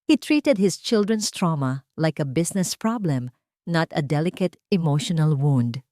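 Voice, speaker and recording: A woman narrator voicing a doctor testifying as an expert witness, formal, measured and accusing, in an audio drama. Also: sits low, expressive, mellow, no room sound, clean studio sound.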